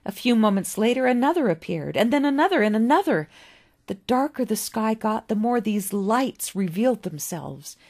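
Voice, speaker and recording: A woman reading literary fiction aloud as an author would, with an expressive, dramatic storybook delivery and deliberate pauses. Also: on the high side. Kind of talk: expressive scripted story narration.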